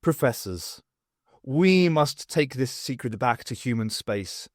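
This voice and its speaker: An excitable male scientist, acted out by a narrator, full of his own importance. He declaims grandly, his pitch jumping about, in a dry studio sound. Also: takes it slowly, no echo. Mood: grand, excited.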